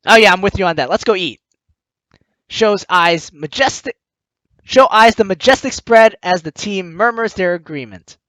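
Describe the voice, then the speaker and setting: A man playing a visual novel, reading the text aloud with excitable, joking reactions and the odd stumble, over music. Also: high voice, moderate pace, dry recording, poor audio. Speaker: let's player. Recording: music behind the voice.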